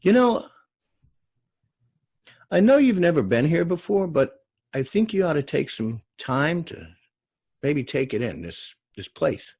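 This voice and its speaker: A man's voice: on the high side, big pitch swings, measured pace, gravelly, pauses often, a little mellow, husky, full character voice. A man voicing a genial middle-aged small-town man in a television drama read, folksy, rambling and reflective. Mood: gentle, reflective. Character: genial, hefty forty-four-year-old small-town man.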